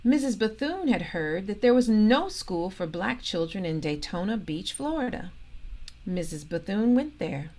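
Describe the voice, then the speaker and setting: A woman reads a children's story aloud at a slow, deliberate pace. Her pitch moves in lively swings around a middle register, and she sets off key phrases with emphatic pauses. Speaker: storybook reader, organisation president.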